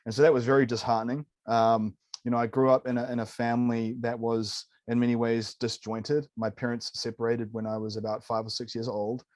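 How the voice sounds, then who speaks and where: A man's voice: medium pitch, little pitch movement, a little fast, a little mellow, no room sound. A man in his late thirties from New Zealand with a New Zealand accent, a nutritionist guest telling his story in a candid, enthusiastic way, often saying "man" and "dude". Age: 30s. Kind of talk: casual interview storytelling.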